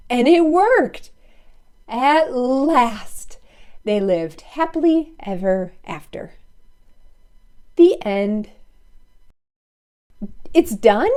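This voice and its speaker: A woman's voice: high-pitched, dark tone. A woman reading a children's story aloud expressively, with playful asides to listeners. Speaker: storybook read-aloud narrator.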